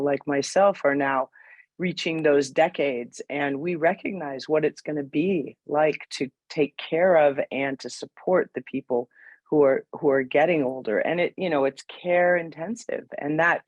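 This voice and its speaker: A former clinician, a woman with a hoarse voice, speaking in a measured, agreeable way in an online meeting. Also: sits low, no echo, muffled recording. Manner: measured, agreeable, with many fillers. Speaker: former clinician on a global health team. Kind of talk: video call meeting discussion. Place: home room indoors.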